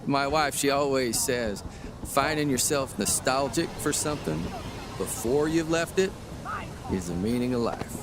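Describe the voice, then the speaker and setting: An actor playing a mild, polite 1930s traveling newspaperman in a period audio drama, speaking in a halting, noncommittal way. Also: medium pitch, lively intonation, background noise, natural voice. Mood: wistful. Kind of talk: period radio drama acting.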